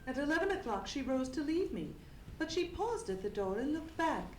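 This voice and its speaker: A woman's voice: high-pitched, sing-song, moderate pace, a little mellow. A woman playing a frightened, distraught young Englishwoman who tells her story formally and dramatically in an old-time radio mystery. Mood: grave recounting. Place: theatre stage, live radio play performance. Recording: echoey live theatre, faint noise.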